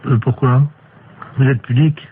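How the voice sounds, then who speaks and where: Man posing as deadpan court official, tinny phone line. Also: mid-range voice, a little slow, background noise, natural voice. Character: deadpan male court official making absurd requests. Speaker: prank caller. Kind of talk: improvised telephone prank.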